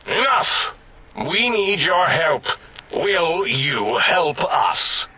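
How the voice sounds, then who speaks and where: Game role: imperious robot scientist, grandiose and pedantic, piercingly bright, raspy tone. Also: male voice, fairly high pitch, very animated, extremely slow, heavily acted. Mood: bossy. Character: pompous, imperious robot scientist brain, cowardly and squeamish. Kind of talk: video game character acting.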